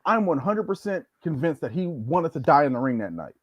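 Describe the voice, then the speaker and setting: A man's voice: a little high, sing-song, a little fast, slightly rough, dry recording. A man telling anecdotes in a casual, conversational way as a guest on a show. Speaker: guest, former wrestler.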